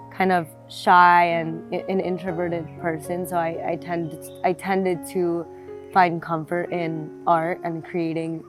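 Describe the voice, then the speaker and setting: A woman in her twenties from South Florida, reflectively telling her life story in an interview, with many fillers and restarts. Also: lowish voice. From South Florida, United States. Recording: music playing behind the voice.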